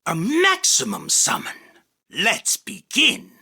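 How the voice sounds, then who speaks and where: A man voicing an arrogant, theatrical, self-proclaimed king of card duels in an anime game, with a husky, forceful, declamatory delivery. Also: high-pitched, huge pitch swings, slow pace, heavily acted. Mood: cool, appraising. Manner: pompous, boastful, declamatory. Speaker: voice actor. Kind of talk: anime game character battle lines.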